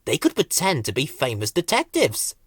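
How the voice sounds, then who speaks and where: A man narrating a children's story as an expressive audiobook storyteller. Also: a little high, moderate pace, breathy edge, no echo, studio quality.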